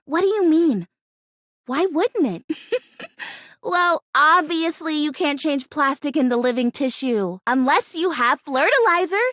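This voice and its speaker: Perky, very high-pitched fake houseplant. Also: female voice, expressive, a little slow, fairly bright tone, no echo, studio quality. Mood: confident, breezy. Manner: perky, dramatic, emphatic, chatty. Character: bubbly, naive, stubbornly hopeful female fake houseplant dreaming of being real. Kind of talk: animated video game character acting.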